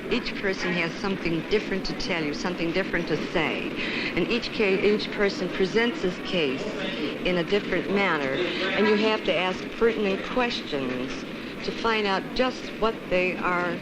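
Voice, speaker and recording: A woman with a very low voice is interviewed about her customer service work. Loud background noise fills the old film recording. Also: normal speed. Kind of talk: documentary interview.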